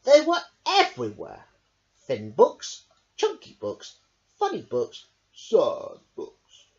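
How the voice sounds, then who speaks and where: A man reading a picture book aloud in a slow, very lively storytelling voice. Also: fairly high pitch. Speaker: storybook reader. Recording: dull lo-fi recording.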